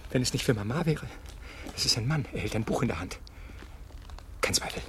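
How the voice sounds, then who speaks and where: An actor playing a nervous, apologetic blackmailer in a radio play, muttering anxiously to himself. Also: male voice, medium pitch, lively intonation, a little fast, fairly bright tone, close to an everyday voice. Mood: anxious, whispering. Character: nervous, apologetic amateur blackmailer, devoted to his mother. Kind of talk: 1970s German radio play acting. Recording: 1970s radio drama, noisy ambience.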